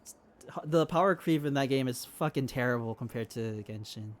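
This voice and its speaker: A man's voice: medium pitch, normal speed, a little hiss or hum. A young adult man streaming a game, chatting casually in a rambling, sweary way. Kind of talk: casual chat while playing.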